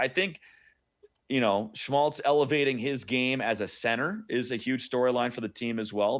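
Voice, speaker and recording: A man with a fairly low voice gives conversational sports analysis as a radio guest. He tells it informally, like a play-by-play announcer off duty, and drops in wry asides.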